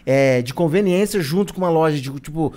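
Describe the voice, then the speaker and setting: A Brazilian man speaks very quickly in a fairly high voice. He is an interview guest telling anecdotes casually, slipping into colloquial retellings of conversations. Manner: colloquial, animated retelling with quoted dialogue.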